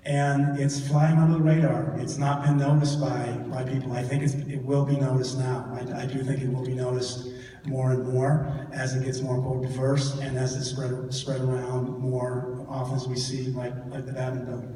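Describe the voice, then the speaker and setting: A man's voice: mid-range voice, little pitch movement, normal speed, dark tone, a little hiss or hum. A man giving a guest talk and answering audience questions in a church hall. He often stumbles and repeats words as he thinks. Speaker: guest speaker. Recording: echoey hall from far off.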